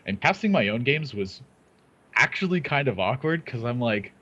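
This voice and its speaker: A man's voice: medium pitch, sing-song, normal speed, sharp tone, muffled recording. A talkative man, a guest who both plays and casts, chatting casually and laughing often. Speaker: guest, player and caster. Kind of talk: casual podcast chat.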